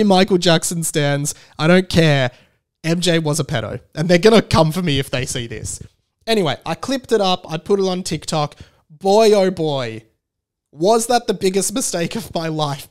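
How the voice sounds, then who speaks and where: A man's voice: on the high side, clean tone. A man hosting a casual, comedic solo podcast, rambling bluntly and swearing freely. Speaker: podcast host.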